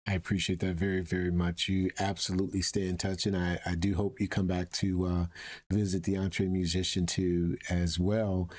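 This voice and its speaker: A man's voice: sits low, little pitch movement, moderate pace, a little mellow. A man hosting a conversational podcast interview in an effusive, encouraging manner.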